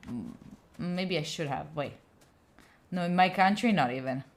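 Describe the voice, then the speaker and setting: A woman's voice: deep voice, lively intonation, moderate pace, a little hiss or hum. Chatty Italian woman streaming a game. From Italy. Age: young adult. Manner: chatty, talks to chat, cheers on her character with sudden squeals. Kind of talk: live gameplay stream chat.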